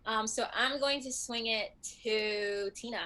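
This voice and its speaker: A woman's voice: a little high, unhurried, bright, forceful tone, rough recording. A twenty-four-year-old woman from New York, a design student introducing herself cheerfully. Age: 20s. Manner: cheerful, eager.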